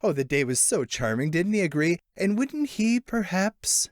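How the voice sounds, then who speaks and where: A man narrating a literary short story in an expressive, theatrical way. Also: high voice, moderate pace, no room sound, clean studio sound. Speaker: audiobook narrator.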